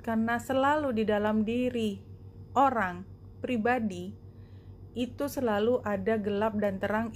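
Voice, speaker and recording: A woman giving a spoken card reading to viewers, explaining reflectively in short phrases with pauses. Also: fairly high pitch, slight background noise. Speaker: tarot and oracle card reader.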